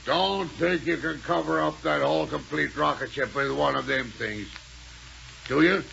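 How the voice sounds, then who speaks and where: An actor playing a grumbling, slow-witted henchman in a 1930s radio serial, with uneducated, ungrammatical speech. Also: a little high, creaky, sharp tone, full character voice. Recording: muffled, noisy 1930s radio recording.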